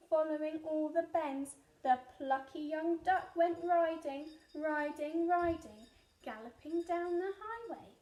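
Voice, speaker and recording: A woman reading a picture book aloud to young children as a nursery storyteller, expressive, with chatty asides. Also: high-pitched, roomy sound. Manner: expressive, child-directed, gasps of wonder.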